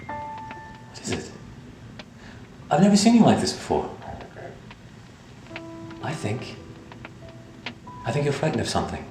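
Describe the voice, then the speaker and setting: An actor playing a shaken, grieving gentleman lover in an audio drama, with a halting, emotional delivery. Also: mid-range voice, wildly swooping pitch, roomy sound, music in the background, poor audio. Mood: concerned, probing. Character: Parker Raleigh, grieving secret lover, shaken career man.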